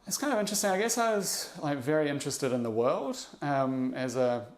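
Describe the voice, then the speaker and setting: A man's voice: on the high side, lively intonation. Reflective young New Zealand man. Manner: reflective, halting, many fillers and restarts. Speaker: interview guest, investor. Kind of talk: casual podcast interview.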